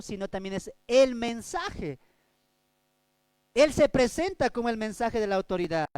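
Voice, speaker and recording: A man from Bolivia, preaching a Bible study sermon as a pastor, swinging between loud and soft delivery. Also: high voice, clean tone, no room sound.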